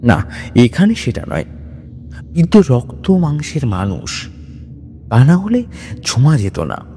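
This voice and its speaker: A man's voice: medium pitch, wildly swooping pitch, slow pace, dark tone, slight background noise. A man narrating a scripted horror story in a dramatic style. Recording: long reverb with music bed. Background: music.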